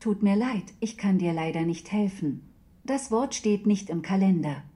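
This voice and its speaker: Polite, forceful-bright woman as a voice assistant. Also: medium pitch, expressive, close to an everyday voice. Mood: neutral, polite. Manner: neutral, measured, precise. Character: polite, emotionless smart-home voice assistant. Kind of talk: scripted radio play, formal answers.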